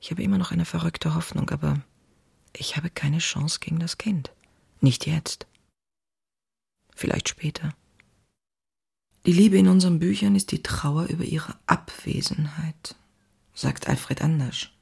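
An actress playing a passionate, anxious woman writer in her thirties, reading her diary in an intimate, confessional way with a husky, breathy voice. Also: low voice, unhurried, long pauses, a little mellow, no echo, heavily acted. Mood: brooding, anxious. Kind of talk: dramatic literary diary reading.

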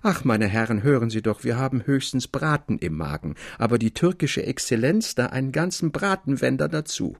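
A man reading the part of a witty, disdainful young gentleman in a literary story, with emphatic, ornate phrasing. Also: mid-range voice, expressive, normal speed, vocal fry, few pauses, dry recording, studio quality. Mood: mocking.

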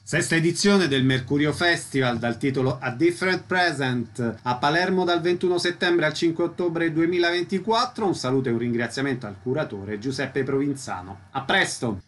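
A man's voice: on the high side, normal speed, few pauses, fairly bright tone. A man working as a radio interviewer, putting prepared questions to guests.

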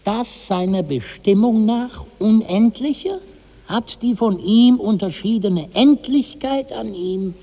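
An actor playing a harried, eager manservant in a radio drama, coaxing and declaiming theatrically. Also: male voice, high voice, takes it slowly, big-room sound, lo-fi sound, heavily acted. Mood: coaxing, salesmanlike. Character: harried, eager male servant feeding his master words. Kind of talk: 1960s German radio drama acting.

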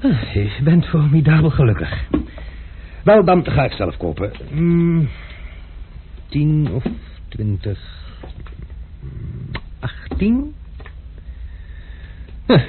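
An actor playing a haughty, quick-tempered male envoy who speaks with irony, in a radio play. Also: a little high, sing-song. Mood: ironic. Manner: ironic, haughty. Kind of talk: radio drama acting. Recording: tinny lo-fi radio play recording with faint hiss.

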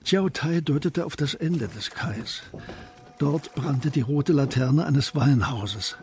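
A man narrating a historical crime radio play in a measured, literary style, as a sober storyteller. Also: a little high, animated, darkish tone. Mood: sober, suspenseful.

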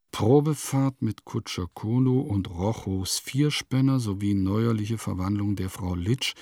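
An actor playing a vain, eccentric composer who muses theatrically and pompously in a radio play monologue. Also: male voice, fairly low pitch, a little mellow, dry recording, clean studio sound. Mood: musing. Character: vain, eccentric male composer musing in his diary.

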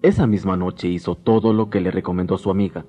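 Low-voiced male radio storyteller. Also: steady tone, fast, mellow. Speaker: narrator. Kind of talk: dramatic scripted storytelling for radio. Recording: dull lo-fi 1990s radio recording, music behind.

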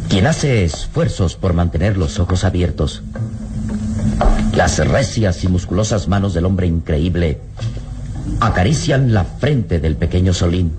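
A man narrating a radio serial in a dramatic, scripted style with solemn, deliberate phrasing. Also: sits low, expressive, heavy background noise. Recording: vintage radio drama, loud music behind. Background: loud dramatic music.

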